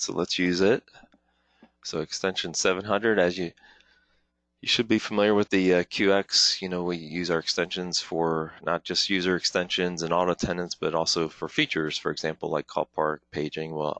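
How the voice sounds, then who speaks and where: A man with an extremely low voice walks listeners through a software demonstration. He speaks informally and haltingly, pausing often as he explains each step. The sound is dry and close, with very little room. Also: no echo. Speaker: webinar presenter, product trainer. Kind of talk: informal software tutorial, live demonstration walkthrough.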